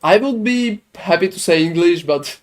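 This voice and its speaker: A man's voice: high-pitched, lively intonation, moderate pace. A man from Sweden streaming a video game, chatting casually with viewers, rambling and swearing as he answers their questions. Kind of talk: casual gaming stream chat.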